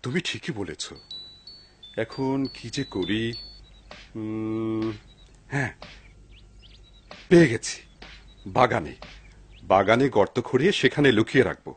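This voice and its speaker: A man playing a humble, pious, honest poor man in a dubbed cartoon, earnest and husky-voiced. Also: lowish voice, lively intonation, unhurried, long pauses, a little hiss or hum. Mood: thoughtful.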